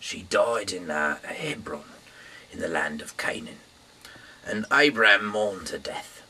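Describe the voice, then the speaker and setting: Guy reading the bible casually, really deep but super sharp voice, keeps stumbling and rambling, bit of room noise. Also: expressive. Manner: halting, rambling, stumbles over words and trails off. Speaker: host reading aloud.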